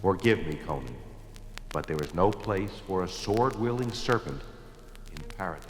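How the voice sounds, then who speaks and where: A reverberant, solemn ancient monster. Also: male voice, animated, normal speed, over music. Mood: sorrowful, firm. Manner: stately, formal, grave. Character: ancient, solemn, sorrowful alien monster, last of its race. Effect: booming reverb for a telepathic voice. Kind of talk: 1970s audio drama acting. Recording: echoing long reverb.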